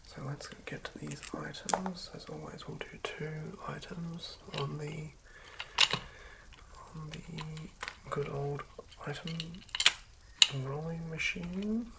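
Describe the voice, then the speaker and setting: A woman whispering in a breathy, unhurried ASMR style, muttering and repeating words as the host rambles through making a roleplaying character. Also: sits low, very noisy.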